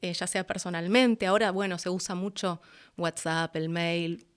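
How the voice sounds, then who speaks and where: A middle-aged woman with an Argentine Rioplatense accent, telling stories as an interview guest in a casual, expressive, chatty way. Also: on the low side, moderate pace, smooth. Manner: expressive, chatty, acts out her own past thoughts. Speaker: interview guest, voice actress and announcer. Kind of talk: casual conversational storytelling.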